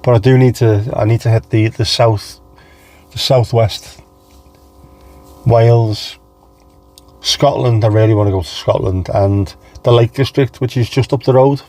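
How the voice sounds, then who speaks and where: A man with a light, not very deep Scouse accent hosts a casual livestream. He rambles easily from one thought to the next and greets his viewers by name. His voice sits at an everyday pitch with a mellow, dark-toned warmth. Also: fairly even intonation, unhurried. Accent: mild Scouse. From England. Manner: chatty, rambling, reads out chat names and answers them. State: low on energy. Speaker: livestream host.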